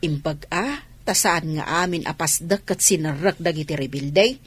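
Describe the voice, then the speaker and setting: A woman acting a calm, agreeable village companion in a radio drama, with a husky voice and a Filipino accent. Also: low voice, moderate pace.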